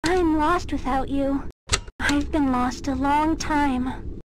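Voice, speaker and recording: A woman's voice: sits high, fairly even intonation, slow, very noisy. A woman voicing a cute, childlike cartoon toy critter, sing-song and demanding with a menacing edge. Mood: clingy. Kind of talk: animated toy voicelines.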